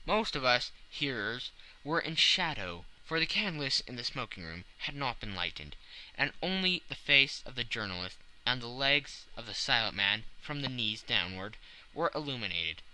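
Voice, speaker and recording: A man's voice: medium pitch, big pitch swings, moderate pace, sharp tone, poor audio. A man narrating a classic novel as an audiobook in a dramatic, scripted reading style.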